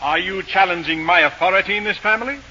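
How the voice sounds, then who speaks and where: A dull old radio recording with a blustering village butcher. His voice is high and cuttingly bright. He is gruff and imperious, laying down the law. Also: fast talker, slight background noise. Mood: stern. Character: stern, blustering village butcher and family patriarch. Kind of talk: 1940s radio acting.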